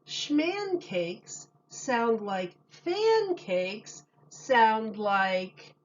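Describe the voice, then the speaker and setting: A woman voicing a sensible, confident little boy in a storybook, deliberately sounding out rhymes. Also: on the high side, big pitch swings, lo-fi sound, voice pushed up, heavily acted.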